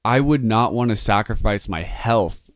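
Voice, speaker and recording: A man's voice: fairly low pitch, measured pace, faint music behind. A man in his twenties hosting a podcast, chatting casually in a rambling way, swearing freely and laughing often. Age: 20s. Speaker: podcast host. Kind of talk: casual chat.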